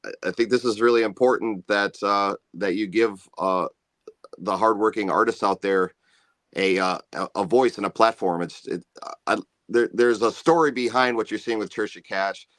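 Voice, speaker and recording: A man with a low bass voice, a musician guest chatting in an easygoing, rambling way about his music. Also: steady tone, moderate pace, a bit bright, no echo, studio quality. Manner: easygoing, rambling, self-promoting. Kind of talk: casual interview chat.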